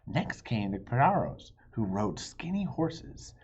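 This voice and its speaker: A man reading a children's story aloud in an expressive, dramatic way, addressing young listeners, with a breathy voice that often drops toward a hush. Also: medium pitch, slow. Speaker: storybook reader. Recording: dull, muffled lo-fi audio.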